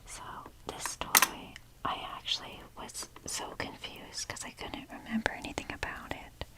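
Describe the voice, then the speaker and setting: A woman whispering in a breathy voice as she rambles casually and wryly in an informal, fannish ASMR style. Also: unhurried, rough recording. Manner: informal, fannish, wry, mildly sweary. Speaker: ASMR video creator showing a toy collection.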